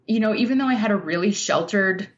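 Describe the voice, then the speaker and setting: An American woman from Utah speaking as an interview guest in a reflective, thoughtful way, often halting to search for words. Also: mid-range voice, lively intonation, dull audio. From Utah, United States. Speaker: podcast guest, nonfiction writer.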